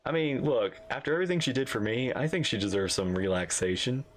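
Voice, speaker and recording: A woman reacts live to a video game in a very low voice. Her delivery is animated, colloquial and chatty. Music is clearly audible behind her. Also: fast talker. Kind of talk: casual live reaction commentary.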